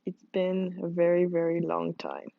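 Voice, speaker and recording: A young woman with a hoarse, worn-out voice chatting casually with her stream viewers, laughing often and rambling with lots of fillers. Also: fairly low pitch, level tone, normal speed, no room sound. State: hoarse, losing voice from screaming. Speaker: livestreamer drawing art. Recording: dull lo-fi dry mic sound.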